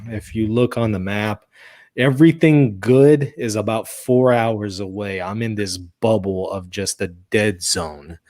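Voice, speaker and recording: A man from the southern US chatting casually as the host of a discussion stream, quick to laugh. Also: on the low side, darkish tone, no room sound, studio quality. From southern US, Mississippi and Louisiana area. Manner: laughs easily. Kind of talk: casual chat.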